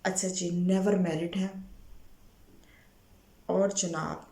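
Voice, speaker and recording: A Pakistani woman with a slightly hoarse, sore throat, presenting a profile informally to viewers as a matchmaker and mixing in English words. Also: fairly low pitch, little pitch movement, slow pace, dark tone, echoey room. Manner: Urdu mixed with frequent English words.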